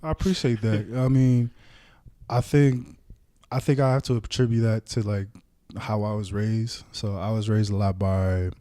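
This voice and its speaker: Young man, raised in Samoa, podcast guest, warm low voice, laid-back, thoughtful. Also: steady tone, normal speed, dry recording, clean studio sound. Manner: thoughtful, laid-back, many verbal fillers. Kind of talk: casual chat.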